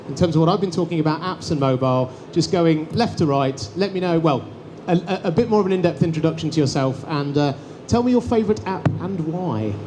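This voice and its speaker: A man, loud and lively, presenting and chairing a conference panel with many fillers. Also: fairly high pitch, brisk, few pauses, slight background noise. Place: conference hall stage. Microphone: headset microphone.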